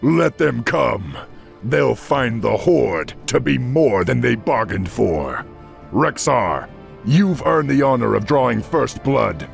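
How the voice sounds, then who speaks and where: A male actor playing a noble, stern orc war chief in a fantasy video game, with a gruff voice and commanding, oratorical delivery. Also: exaggerated voice. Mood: resolute. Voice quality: deep, gruff, gravelly.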